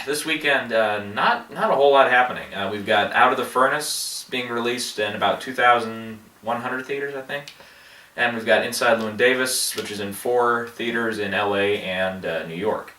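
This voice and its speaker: A man's voice: medium pitch, bright, forceful tone, distant mic, slight background noise. Man with a head cold, echoey. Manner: halting, many fillers and restarts. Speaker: movie box office vlogger. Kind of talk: casual rambling commentary. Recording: echoey room, voice off-mic.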